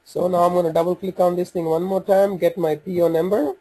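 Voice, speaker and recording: A man presenting an informal software walkthrough, talking haltingly as he thinks aloud while working through the screens. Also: high voice, level tone, slightly rough, no echo.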